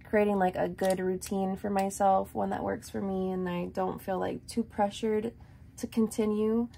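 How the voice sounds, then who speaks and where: Raspy-voiced young woman chatting casually. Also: mid-range voice, little pitch movement, normal speed, warm tone, slight background noise. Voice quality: raspy, dry morning voice. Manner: rambling, lots of likes and ums. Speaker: vlogger. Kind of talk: casual chat to camera. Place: small room indoors.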